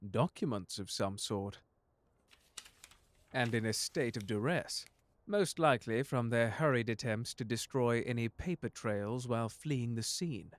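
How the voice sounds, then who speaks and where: A man's voice: medium pitch, lively intonation, measured pace, natural voice. A man playing a suave, unflappable butler in an ASMR audio drama, speaking formally with dry courtesy and a protective streak. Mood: curious, watchful. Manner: formal, polite, dryly sardonic. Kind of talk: ASMR audio drama roleplay addressed to the listener.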